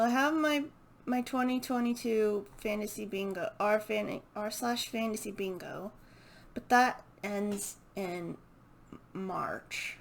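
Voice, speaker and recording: A woman chatting casually and unscripted as a book vlogger, hesitant and halting as she thinks aloud. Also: medium pitch, gravelly. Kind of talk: casual unscripted chat to camera.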